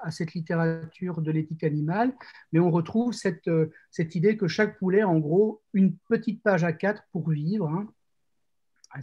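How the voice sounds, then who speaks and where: A man's voice: fairly high pitch, mellow. A man, a novelist and essayist, speaking as a guest on a discussion panel, explaining in detail and restarting his sentences as he thinks.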